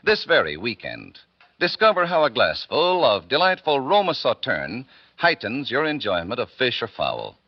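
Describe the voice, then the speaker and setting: Animated man announcing on muffled 1940s radio. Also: mid-range voice, no room sound. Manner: polished, deliberate salesmanship. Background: music.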